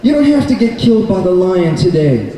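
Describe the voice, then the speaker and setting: A man's voice: high-pitched, sing-song, a little fast, mellow. A man voicing a clever rabbit leader in a children's puppet show, speaking simply and earnestly. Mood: excited. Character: clever young male rabbit leader, reassuring friends. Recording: very echoey noisy hall, distant mic.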